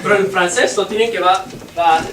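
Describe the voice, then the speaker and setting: Halting teacher. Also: medium pitch, steady tone. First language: English. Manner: halting, searching for words while translating. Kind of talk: bilingual classroom lesson. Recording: echoey room, distant mic, noisy.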